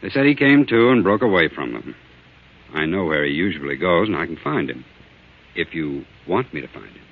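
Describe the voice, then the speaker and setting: Deep, stern doctor in tinny old radio drama. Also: male voice, big pitch swings, vocal fry, breathy edge, full character voice. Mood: measured, knowing. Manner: firm, deliberate, commanding. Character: stern, insistent male family doctor. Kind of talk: 1940s radio drama acting. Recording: tinny, narrow-band old radio recording.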